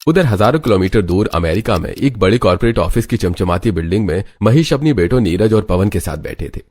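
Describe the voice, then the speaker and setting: Deep-voiced man narrating a story. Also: lively intonation, brisk, a little mellow, breathy edge. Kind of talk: scripted Hindi cartoon story narration.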